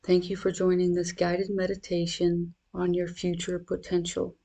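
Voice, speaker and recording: A meditation guide speaking in a measured, reassuring, instructional manner. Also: mid-range voice, completely flat delivery, dark tone.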